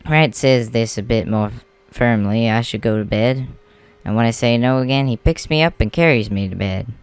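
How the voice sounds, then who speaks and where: A man's voice: medium pitch, moderate pace, smooth, dry recording, natural voice. A man playing a stubborn, polite, workaholic clan leader in an amateur comic dub, talking in an easygoing, emphatic way. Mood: chatty. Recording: music behind the voice.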